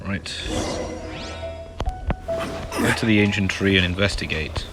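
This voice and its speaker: A man's voice: low voice, measured pace. A man hosting a gaming playthrough with casual, informal muttered asides. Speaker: gaming video host. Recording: game music behind voice.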